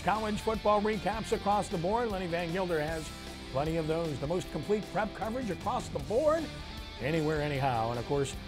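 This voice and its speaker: A man hosting a sports talk show, delivering commentary and discussion like an anchor. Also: on the high side. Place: on location at a restaurant.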